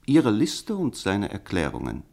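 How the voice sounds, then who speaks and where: A man's voice: lowish voice, huge pitch swings, measured pace, creaky, warm tone, full character voice. An actor in a German radio play playing a worldly, cynical man who lectures pointedly with rhetorical questions. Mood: sardonic.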